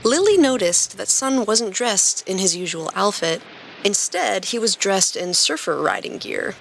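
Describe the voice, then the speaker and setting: A woman hosting a podcast and narrating stories in a clear scripted reading style. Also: mid-range voice, big pitch swings, unhurried, a little hiss or hum, rough recording. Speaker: podcast host and story reader.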